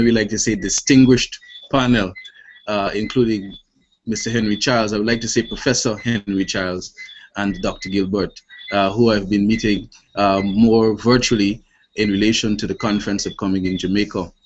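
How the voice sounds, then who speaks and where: Dull, noisy online call, Guyanese man, late twenties, mid-pitched, formal panel speech, deliberate rhetorical emphasis. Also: fairly even intonation. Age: late 20s. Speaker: youth organisation panelist. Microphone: online video call. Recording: dull lo-fi web call, clear noise.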